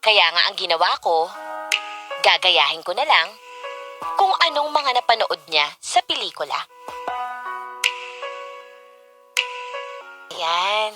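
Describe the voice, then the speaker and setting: A woman's voice: fairly low pitch, very animated, measured pace, long pauses, over music. An actress playing an eager, insecure young girlfriend in a Filipino radio comedy drama, with a coy, emotional, exaggerated delivery. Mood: confiding. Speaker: drama actress and first-person narrator.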